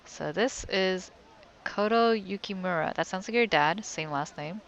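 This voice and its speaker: A woman's voice: medium pitch, unhurried, lo-fi sound. A young woman giving casual let's play commentary as a game streamer, often murmuring to herself.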